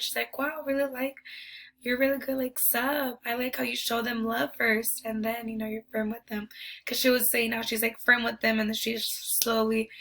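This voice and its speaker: A woman's voice: a little high, pushed and bright, a little hiss or hum. A young woman, a student teacher, losing her voice, talking casually with lots of ums and likes about her teaching philosophy. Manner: chatty, many ums and likes. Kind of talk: casual presentation video.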